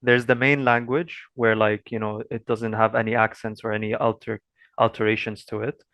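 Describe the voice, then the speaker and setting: A man asks questions in a lowish, dry voice. He talks in a casual, rambling way, filling pauses with "like" and "you know.". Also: level tone, a bit bright, no room sound. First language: Arabic. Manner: rambling with fillers. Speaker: interviewer. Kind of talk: casual interview talk.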